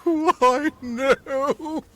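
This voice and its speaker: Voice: high-pitched, fairly even intonation, extremely slow, fairly bright tone, full character voice. An actor voicing an anxious, panicky piece of bubble gum in a comedic audio drama, stammering and repeating words. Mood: panicked.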